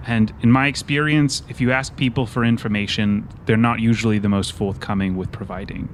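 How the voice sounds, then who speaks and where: Man playing a measured, deadpan, awkward analytical man. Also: lowish voice, a little hiss or hum, close to an everyday voice. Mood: earnest, measured. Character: awkward, analytical, formally spoken man who probes people.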